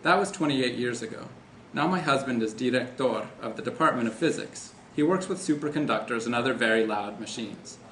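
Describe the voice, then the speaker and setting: A man's voice: mid-range voice, a little fast, a bit bright. A man reading a first-person short story aloud as an author. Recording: echoey room, faint background noise.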